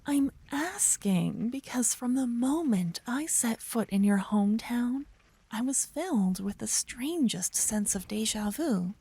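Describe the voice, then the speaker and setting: A woman playing an earnest, chatty young paladin girl in an ASMR fantasy roleplay, with soft, expressive, dramatic delivery. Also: a little high, unhurried, breathy edge, exaggerated voice. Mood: curious, puzzled.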